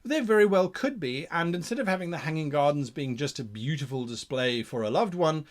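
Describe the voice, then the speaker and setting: A man with a fairly high, very animated voice presents game-mastering advice. He speaks theatrically, reasoning aloud and putting questions to himself before answering them. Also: brisk. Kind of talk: explanatory monologue on monster creation.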